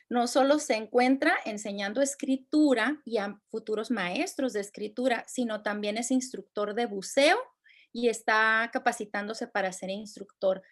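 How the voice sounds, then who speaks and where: A woman with a fairly high voice hosts a university session. She introduces the guest in a formal, friendly way. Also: normal speed. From Mexico. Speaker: event host introducing the speaker.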